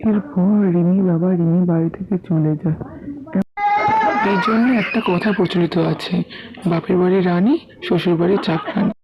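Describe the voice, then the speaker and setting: A cartoon storyteller delivers scripted narration. The sound is muffled and lo-fi, with clear noise behind the voice. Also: mid-range voice, fairly even intonation, normal speed. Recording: dull, noisy recording.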